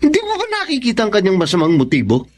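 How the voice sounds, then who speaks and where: High-voiced, indignant eldest son. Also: male voice, huge pitch swings, racing, heavily acted. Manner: accusing, insistent. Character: suspicious, indignant, protective grown eldest son. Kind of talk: radio drama acting.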